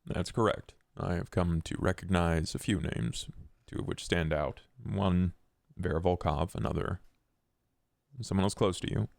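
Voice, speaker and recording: Shrewd vampire financier acted by a man, deep-leaning gravelly voice, terse and measured, roleplay podcast. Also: no room sound. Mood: guarded, dry. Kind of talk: in-character tabletop roleplay.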